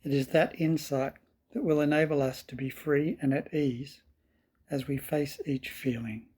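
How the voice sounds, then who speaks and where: A man with a slightly husky voice guiding a meditation in calm, measured, deliberate phrases. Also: a little high. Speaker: meditation teacher. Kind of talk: slow guided meditation.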